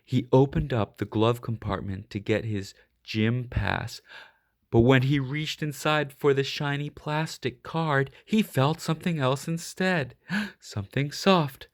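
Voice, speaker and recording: A man reading a children's picture book aloud expressively, leaning on key words with deliberate, dramatic emphasis. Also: mid-range voice. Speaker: storybook reader.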